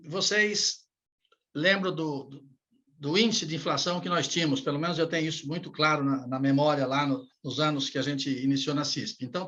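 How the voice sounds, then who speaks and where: A man, general manager of an association, recalling past work challenges in a podcast chat, halting and repeating words as he thinks. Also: fairly high pitch, bright, forceful tone, dull audio.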